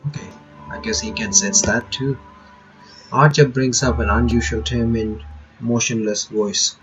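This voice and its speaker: A man reading a visual novel aloud, with halting reading broken up by quick reactions of his own. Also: mid-range voice, fairly even intonation, distant mic, muffled recording. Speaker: reaction channel host. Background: game music playing.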